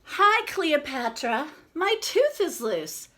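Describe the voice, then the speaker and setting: Woman playing an excited, high-pitched little girl. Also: slow pace, full character voice. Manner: bright, calling out greetings. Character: excited, friendly little girl with a wiggly tooth.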